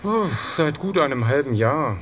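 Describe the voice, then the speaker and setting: A man's voice: on the high side, lively intonation, normal speed, a bit bright, a little hiss or hum. An actor playing a resentful male shop clerk under suspicion in an audio drama, indignant and falsely polite. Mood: defensive, indignant. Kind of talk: German audio drama acting.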